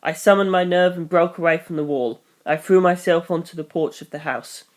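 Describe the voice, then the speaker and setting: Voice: mid-range voice, fairly even intonation, fairly quick. A reader narrating a first-person action story in a storytelling style. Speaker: audiobook reader.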